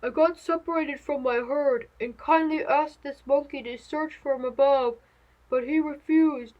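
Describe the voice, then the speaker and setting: A woman voicing a huge, gentle male storybook elephant who explains earnestly and apologetically, short of breath. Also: high-pitched, fairly even intonation, a little slow, muffled recording. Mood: apologetic, winded. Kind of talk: children's storybook character voice.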